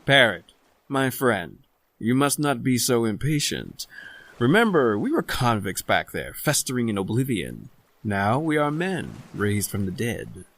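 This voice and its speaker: An actor playing a worldly, self-possessed convict doctor and murderer, narrating a radio horror drama in an eloquent, formal, theatrical manner. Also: male voice, medium pitch, big pitch swings, unhurried, a bit bright, no echo, full character voice. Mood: suave, celebratory. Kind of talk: old-time radio horror drama acting, scripted narration.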